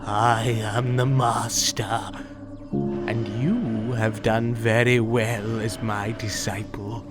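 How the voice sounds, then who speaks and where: A man plays a menacing alien villain in an audio drama. He speaks slowly and deliberately, with condescending, silky politeness and calm control, while loud music plays behind him. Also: on the low side. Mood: coolly threatening.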